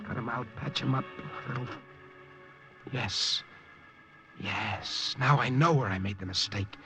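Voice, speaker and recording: An actor playing an anxious, captured undercover agent in a 1950s radio drama, speaking in tense, halting phrases. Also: male voice, mid-range voice, lightly acted. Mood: anxious brooding. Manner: tense halting inner monologue, stammering. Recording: old radio broadcast with music underneath.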